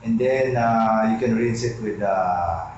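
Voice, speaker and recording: Slow halting Filipino man in his fifties. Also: lowish voice, darkish tone. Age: 50s. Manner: slow, halting, searching for words. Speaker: focus group participant, businessman. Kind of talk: casual group discussion. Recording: echoey room, lo-fi, background noise.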